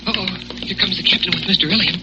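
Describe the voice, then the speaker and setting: An actor playing an eager, excitable teenage boy sidekick in a 1940s radio serial, keen and respectful. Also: high voice, fast talker, lightly acted. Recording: very noisy, muffled 1940s radio recording.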